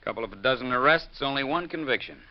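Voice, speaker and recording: A man's voice: medium pitch, animated, fast talker, lightly acted. Blunt American radio cop, played by a man. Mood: insistent. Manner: colloquial, blunt, teasing. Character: gruff, chummy male city police detective. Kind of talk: 1950s American radio drama acting. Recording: muffled old radio recording with noise.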